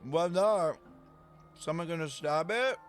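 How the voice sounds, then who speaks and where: A man's voice: a little high, slow pace. A young man giving casual, slangy reaction commentary as a streamer, with game music behind him. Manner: slangy, informal, swearing.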